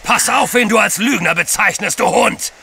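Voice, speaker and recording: An actor playing a cruel, gloating Tatar bandit leader in an audio drama, sneering and theatrical. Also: male voice, high-pitched, normal speed, vocal fry, pushed and bright, soft background music, exaggerated voice. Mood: contemptuous fury.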